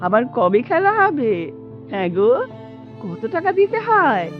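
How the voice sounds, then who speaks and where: A frail-minded elderly mother from a radio play, pining for her son like a lost child. Her voice is high and swoops widely as she slowly repeats herself in a sing-song way. Soft music plays behind her. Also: sing-song. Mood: childlike delight. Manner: rambling, repetitive, sing-song. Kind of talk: Bengali radio drama acting. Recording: dull lo-fi audio with music behind.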